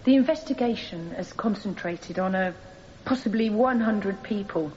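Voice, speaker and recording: Actress as challenging woman questioner, mid pitch. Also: moderate pace, a little mellow, lightly acted. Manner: formal, challenging. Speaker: actor in a radio courtroom drama. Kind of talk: radio drama cross-examination. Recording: slightly dull, noisy radio recording.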